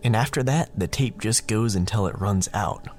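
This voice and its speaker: A man's voice: on the low side, normal speed, a little mellow. A man narrating a horror story in a casual, wry, conversational way, as the host of a storytelling podcast.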